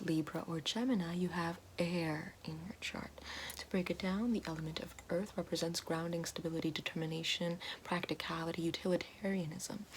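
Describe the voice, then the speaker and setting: Hushed woman, lower-pitched, over noisy clinking. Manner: slow, hushed, often slipping into whispers. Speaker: ASMR host. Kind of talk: soft-spoken ASMR roleplay, guiding a grounding exercise.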